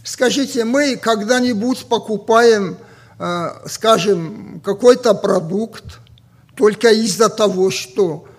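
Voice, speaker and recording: A man from Ossetia with an Ossetian accent, a native Ossetian speaker preaching a sermon slowly and deliberately, pausing often with fillers. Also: high-pitched, slightly rough. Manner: slow and deliberate with many pauses and fillers.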